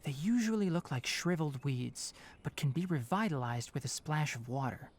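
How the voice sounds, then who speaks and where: A woman playing a brisk, stern but caring young male forest watcher in a video game, precise and no-nonsense. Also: deep voice, expressive, darkish tone, slight background noise. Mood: serious, explanatory.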